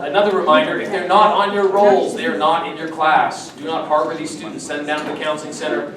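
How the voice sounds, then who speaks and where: A man leads a staff meeting with informal announcements. His voice sits a little high. It comes from away from the mic in a very reverberant room, with people murmuring behind. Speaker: school administrator leading a staff meeting. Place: large room at a school faculty meeting. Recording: very echoey room, distant mic, audience murmur.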